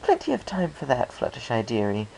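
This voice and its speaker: A man's voice: medium pitch, huge pitch swings, fairly quick, vocal fry, darkish tone, slight background noise, pitched above the performer's own voice, rough recording. A man voicing a flirtatious, refined female storybook pony with an airy, affected, purring manner. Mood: impatient, curious. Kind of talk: storybook character voice in fan fiction reading.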